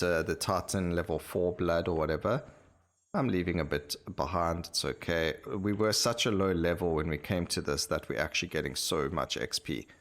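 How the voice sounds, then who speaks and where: A man's voice: deep voice, a bit bright. A man giving casual, unscripted commentary as he plays a video game. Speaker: gaming video host. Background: game music.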